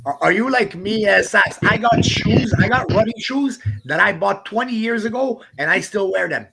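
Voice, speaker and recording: A man's voice: sits high, moderate pace, creaky, sharp tone, a little hiss or hum. A man chatting casually on a live stream, friendly and asking many questions. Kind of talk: casual chat.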